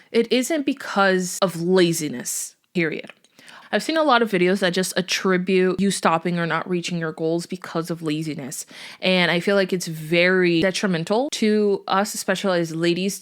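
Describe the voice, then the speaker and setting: A young woman whose first language is Portuguese, hosting a faith-based podcast in an earnest, chatty way. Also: lowish voice. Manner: earnest, chatty, with fillers and small laughs. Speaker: podcast host.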